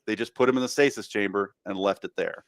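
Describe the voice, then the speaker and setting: A man's voice: lowish voice, quick pace, no room sound. A man co-hosting a podcast, chatting eagerly with many stammered restarts. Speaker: podcast co-host. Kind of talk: casual chat.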